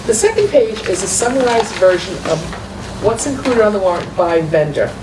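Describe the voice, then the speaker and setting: A woman's voice: mid-range voice, big pitch swings, moderate pace. Woman explaining documents in an echoey room. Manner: explanatory, walking through documents. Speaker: school business manager. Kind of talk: meeting report. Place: school committee meeting room. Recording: echoey far-off room mic with noise.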